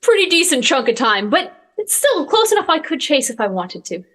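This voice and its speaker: A woman's voice: high voice, big pitch swings, fairly quick, fairly bright tone. A woman with an American accent, an interview guest chatting casually in an upbeat, agreeable manner. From Michigan, United States. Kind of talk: casual chat.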